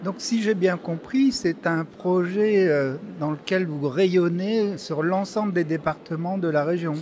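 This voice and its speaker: A man's voice: high-pitched, unhurried, darkish tone. A male interviewer asking short, guiding questions.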